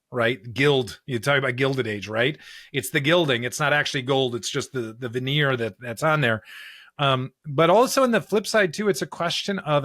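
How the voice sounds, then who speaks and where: An American man with a mid-range voice talks through scripture as a podcast co-host. He is earnest and halting, searching for phrasing and stacking clause on clause. The sound is dry, with hardly any room to it. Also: medium pitch, a bit bright, no echo. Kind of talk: conversational Bible discussion.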